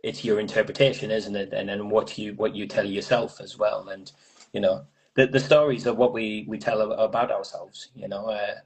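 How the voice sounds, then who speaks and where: A man with a husky, creaky voice talks casually about poetry. His delivery is fairly even and on the low side, thoughtful and hesitant, often checking with a tag question. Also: moderate pace, low-quality recording. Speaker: poet on a reading panel. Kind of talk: casual literary discussion.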